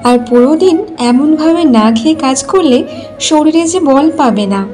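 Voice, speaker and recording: A woman playing a caring, self-sacrificing village wife in a cartoon folk tale, gentle and concerned. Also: high-pitched, animated, measured pace.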